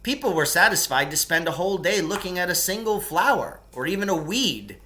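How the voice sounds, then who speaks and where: A man reading a children's novel aloud as a narrator, with expressive, emphatic delivery. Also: high-pitched, fairly quick. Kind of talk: storybook read-aloud narration.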